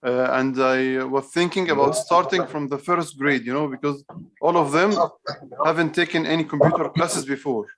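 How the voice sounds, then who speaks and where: A man's voice: on the high side, steady tone, a bit bright, poor audio. Hesitant male teacher on a video call. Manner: hesitant, halting, repetitive phrasing. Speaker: computer teacher for young children. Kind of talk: online class instruction.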